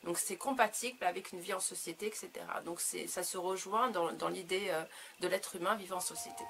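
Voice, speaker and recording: Hesitant low-voiced woman with a creaky edge. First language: French. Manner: hesitant, halting, searching for words. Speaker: author being interviewed. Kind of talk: reflective interview answers about own work.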